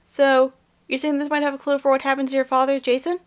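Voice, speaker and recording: A woman's voice: high-pitched, level tone, fast talker, natural voice. A woman voicing a caring, level-headed young animal adventurer in an audio drama. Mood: concerned.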